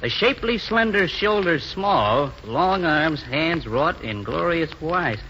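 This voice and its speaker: An actor playing a cocky young ex-football player in a 1940s radio drama, brash, slangy and teasing. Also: male voice, fairly high pitch, lively intonation, slow pace, pushed and bright, heavily acted. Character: cocky, boastful young ex-football player working a gas pump. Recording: muffled, hissy 1940s radio recording.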